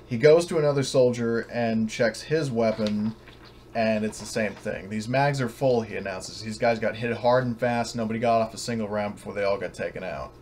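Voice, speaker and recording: A man narrating and refereeing a tabletop game as game master, halting and often correcting himself, over an online voice chat. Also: fairly low pitch, darkish tone. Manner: halting, hedging, self-correcting. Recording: noisy online voice chat recording.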